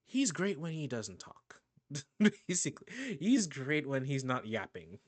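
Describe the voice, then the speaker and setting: A man's voice: mid-range voice, very animated, measured pace, no echo. A man giving casual, rambling reaction commentary on a video, often restarting and laughing. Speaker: YouTube reaction host.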